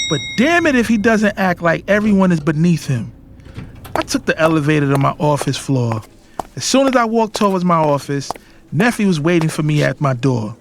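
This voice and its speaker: A man's voice: on the high side, noisy background. A man acting as a defiant, protective young adult son of a boss in an audio drama. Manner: assertive, emphatic. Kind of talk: dramatized first-person audio drama narration and dialogue.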